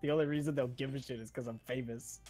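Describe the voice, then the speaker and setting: A man's voice: mid-range voice, fairly even intonation, quick pace, darkish tone, soft background music. A man in casual, joking stream banter, sarcastic and swearing freely, as a guest reading along. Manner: sarcastic, profane.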